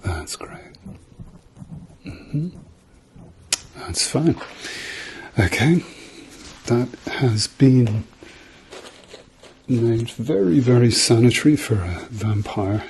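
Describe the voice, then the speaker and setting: A man doing a soft-spoken, often whispered ASMR roleplay as a gentle, reassuring doctor with a dryly playful manner. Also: medium pitch, lively intonation, very slow, pauses often, slight background noise. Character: calm, reassuring male doctor treating a patient.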